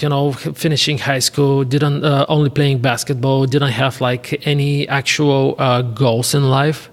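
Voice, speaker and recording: A man with a Bulgarian accent chatting casually as an interview guest, speaking in halting, hesitant phrases. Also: mid-range voice, gravelly. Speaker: podcast guest, real estate investor. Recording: reverberant, hall-like room.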